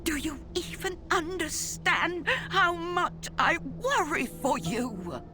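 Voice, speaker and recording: A woman's voice: very high voice, very animated, slow, over music, full character voice. An actress playing a protective, devout village mother figure in a video game, fussing and scolding. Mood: worried, scolding. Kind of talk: video game cutscene acting.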